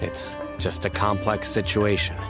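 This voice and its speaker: An actor playing a stoic lone enforcer in a video game, curt and serious in delivery. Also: male voice, fairly low pitch, flat delivery, a little slow, loud music behind the voice. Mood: terse, guarded.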